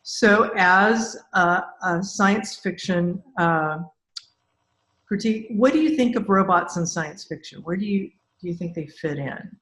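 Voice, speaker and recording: A woman with a slightly low voice hosts a casual interview. She talks in a chatty, rambling way, and the room around her sounds clearly echoey. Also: measured pace, fairly bright tone. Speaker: podcast host, interviewer.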